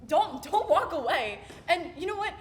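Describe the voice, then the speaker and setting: A high-pitched, wounded young voice acted by a woman confronts someone insistently in an amateur dramatic monologue. Faint ambient noise sits behind the angry delivery. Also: big pitch swings, racing, a bit bright, echoey room, heavily acted. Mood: hurt, angry.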